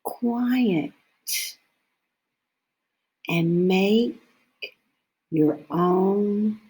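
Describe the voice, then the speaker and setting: Very slow-paced woman reading a storybook. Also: mid-range voice, dark tone, breathy edge. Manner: unhurried, deliberate phrasing with frequent pauses. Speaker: storybook reader. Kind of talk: scripted children's story read-aloud.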